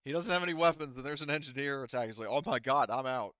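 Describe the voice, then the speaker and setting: A man's voice: mid-range voice, fairly even intonation, fast, a bit bright, clear, resonant tone, no room sound, muffled recording. A man working as game master, improvising narration and rulings for a tabletop roleplaying game in a casual, profane way.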